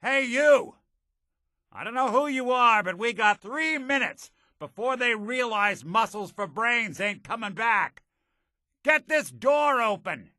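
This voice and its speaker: World-weary American robot gumshoe for a noir game: lowish, very expressive, dry wit, kind underneath. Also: male voice, measured pace, dry recording. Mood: urgent shout. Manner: wry, folksy old-time gumshoe delivery. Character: weary, wry synth private detective, kind but hard-boiled. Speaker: video game voice actor. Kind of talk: noir detective game dialogue.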